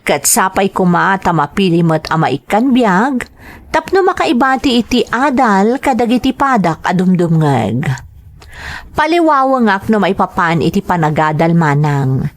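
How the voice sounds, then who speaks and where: A woman with an Ilocano accent and a mid-pitched voice. She hosts a story podcast, reading greetings and letters aloud. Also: very animated, measured pace. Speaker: podcast host and story narrator.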